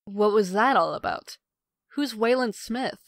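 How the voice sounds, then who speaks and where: A woman's voice: mid-range voice, lively intonation, a little slow, no room sound, clean studio sound. A woman playing a brash, sarcastic, rebellious sister with a flippant, snide manner in a comic dub. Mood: puzzled, put out.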